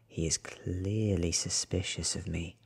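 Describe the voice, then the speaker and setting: Very low, breathy man reading softly. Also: fairly even intonation, measured pace. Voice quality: breathy, often slipping into whisper. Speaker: reader. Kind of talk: soft-spoken ASMR audiobook reading.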